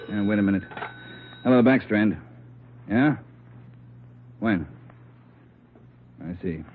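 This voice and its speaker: Clipped, authoritative American squad boss, lowish voice, vintage radio crime drama with thin sound. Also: male voice, expressive, slow. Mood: brisk, commanding. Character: brusque, commanding male police superior. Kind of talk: 1940s-50s radio drama acting. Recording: old radio recording, narrow band, slight noise.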